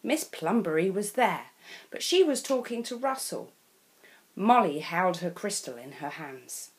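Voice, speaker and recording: Slow expressive English storyteller woman. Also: on the low side. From England. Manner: slow, expressive read-aloud. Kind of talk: children's picture book reading.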